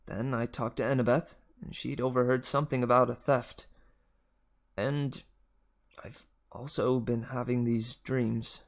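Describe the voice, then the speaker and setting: An uneasy young boy hero in a storybook, read by a man. He is candid and earnest, a little hesitant, in an unhurried voice with some creak. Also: mid-range voice, level tone, lightly acted. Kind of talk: dramatic children's audiobook reading.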